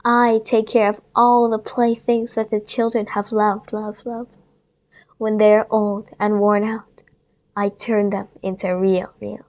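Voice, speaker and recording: A woman voicing a gentle nursery fairy who speaks softly and kindly, with the last words of her phrases echoed. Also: medium pitch, level tone, unhurried. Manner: soft and kind, with echoed repeated words. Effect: echo on repeated word endings.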